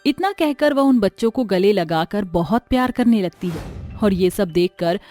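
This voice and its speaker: A woman's voice: mid-range voice, animated, moderate pace, mellow, faint music behind. A woman reading scripted narration for a moral story as its narrator.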